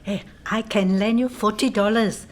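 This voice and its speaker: A woman's voice: mid-range voice, fairly even intonation, moderate pace, lightly acted. A woman acting in a radio drama as a kind, practical neighbour who keeps the peace, with a Singaporean accent and a firm, soothing manner.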